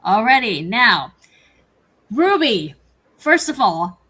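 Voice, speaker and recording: A woman's voice: fairly high pitch, big pitch swings, takes it slowly, pushed and bright, breathy edge. A woman from Canada hosting a live online interview, upbeat and repeating words for emphasis. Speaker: host.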